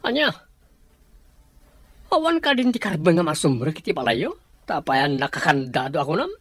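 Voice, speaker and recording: A man's voice: fairly high pitch, sing-song, normal speed, a bit bright, no room sound. A man with a Filipino accent playing an agitated, selfish lover in a radio drama, hasty and whining. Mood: alarmed.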